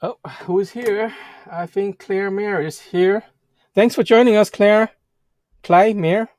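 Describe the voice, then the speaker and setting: German-native man, lively livestream host. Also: sits high, no echo. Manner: halting, restarts and fillers, animated. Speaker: vlog host. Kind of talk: casual livestream chat. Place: Edmonton, Canada.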